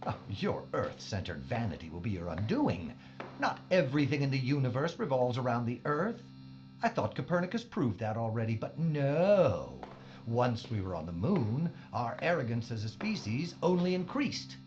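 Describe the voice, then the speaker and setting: Actor as wildly swooping mad scientist villain, dull sound. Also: male voice, mid-range voice, big pitch swings, normal speed, a little mellow, soft background music, close to an everyday voice. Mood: grandiose, fervent. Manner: grandiose, theatrical monologuing. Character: fanatical mad scientist villain, grandiose and menacing. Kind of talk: science fiction audio drama acting. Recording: dull band-limited sound.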